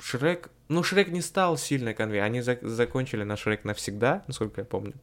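A young man hosts a casual podcast in a medium-pitched voice. He talks in loose slang with some swearing. His delivery is halting, circling back over his own phrases. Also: a bit bright, slight background noise. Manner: slangy, mildly profane, halting with repeated phrases. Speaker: podcast host.

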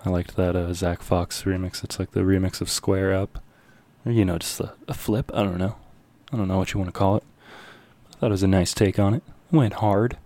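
A man presents a music radio show in an easygoing, casual way. His voice sits fairly low, with a very soft, mellow tone. He talks in relaxed slang as he introduces tracks. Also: fairly quick. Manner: laid-back, slangy.

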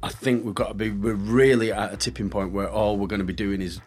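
A man's voice: on the low side, fairly even intonation, quick pace, darkish tone. A man from Manchester with a Mancunian accent, a musician being interviewed in casual, rambling chat that often restarts mid-sentence. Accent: Mancunian English. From Manchester, England. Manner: chatty, rambling, self-correcting. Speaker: musician guest.

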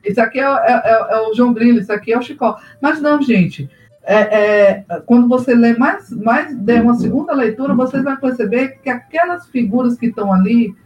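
A man's voice: very high voice, fairly quick, a little mellow, far from the mic, highs cut off, faint music behind. A man co-hosting a casual chat, talking hesitantly with many fillers, on echoey remote audio. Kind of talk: casual conversation on a live stream.

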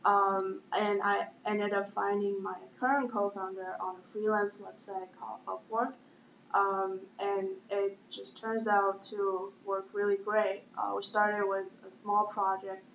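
A woman's voice: mid-range voice, level tone, measured pace, vocal fry, fairly bright tone. A woman in her twenties with a Chinese accent, answering interview questions conversationally as a startup founder. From Shanghai, China. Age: 20s. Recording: echoey room, dull sound, faint noise.

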